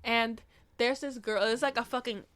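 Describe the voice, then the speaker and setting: A woman's voice: a little high, moderate pace, smooth, dry recording. Casual woman chatting on a podcast, dry sound. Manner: animated, sweary, slangy storytelling. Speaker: podcast co-host. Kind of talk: casual chat retelling a movie.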